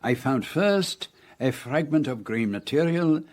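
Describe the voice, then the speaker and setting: An actor playing a fussy, courteous Belgian detective in a radio drama, with a French-speaking Belgian accent and precise, formal speech. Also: male voice, fairly high pitch, expressive, measured pace, darkish tone. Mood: measured, expository. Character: fussy, theatrical middle-aged Belgian male detective.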